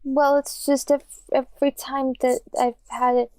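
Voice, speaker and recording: A woman's voice: sits high, level tone, moderate pace, darkish tone, clean tone, dry recording, natural voice. A woman playing a blunt, questioning lost child in a tabletop game. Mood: tentative.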